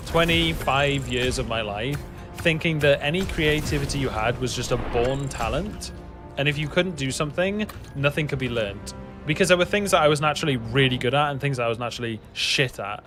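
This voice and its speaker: Welsh male streamer in his thirties, loose and sweary, clear music behind him. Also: medium pitch, a bit bright. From West Wales. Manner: swears casually, laughs a lot, rambles to chat. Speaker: game streamer. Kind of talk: casual live-stream chat.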